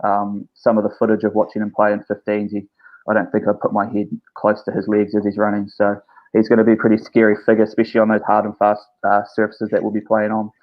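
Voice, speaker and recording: An easygoing man with a New Zealand accent, speaking low and flat, pausing often as he thinks. His voice comes through dull lo-fi video call audio. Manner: easygoing, hesitant, many fillers. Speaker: head coach. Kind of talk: remote interview.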